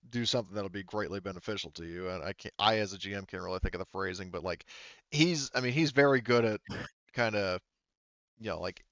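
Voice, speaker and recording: A man narrating and refereeing a tabletop roleplaying game as game master, in a halting, rambling way full of asides. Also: on the low side, fairly bright tone, smooth, no room sound, lo-fi sound.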